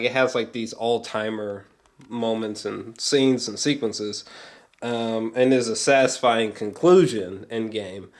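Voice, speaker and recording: A man giving a casual, unscripted vlog review as an excitable, sweary movie fan who rambles, repeats himself and trails off mid-thought. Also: medium pitch. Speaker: amateur movie reviewer vlogger.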